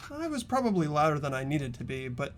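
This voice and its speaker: A woman's voice: sits low, very animated, fast. A woman narrating a game playthrough in the first person, like a story. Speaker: narrator and player.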